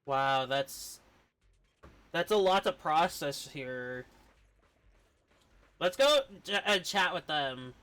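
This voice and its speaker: A man's voice: on the high side, lively intonation, slow, long pauses, sharp tone, smooth. A man streaming a game, musing aloud in casual live commentary.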